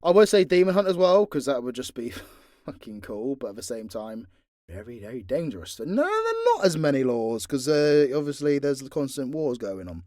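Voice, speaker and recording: A man's voice: medium pitch, big pitch swings, moderate pace, a little mellow, no room sound. A man with a British accent answering questions in a casual, unscripted way, blunt, sweary and informal. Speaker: audio creator and voice actor.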